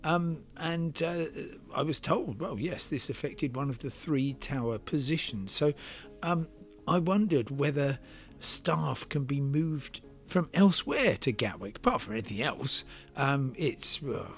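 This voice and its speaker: A man hosting a podcast, giving a semi-scripted news commentary in a measured, explanatory way, with hesitant pauses and emphatic stresses. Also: on the high side, lively intonation, a bit bright, soft background music. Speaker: podcast host and travel commentator.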